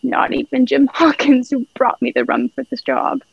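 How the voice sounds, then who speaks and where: Woman doing a sly pirate boss, really creaky voice, salty talk, muffled home recording. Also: high-pitched, level tone, normal speed, no room sound, exaggerated voice. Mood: commanding. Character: cunning, commanding male pirate ringleader, falsely friendly. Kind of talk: amateur radio play acting.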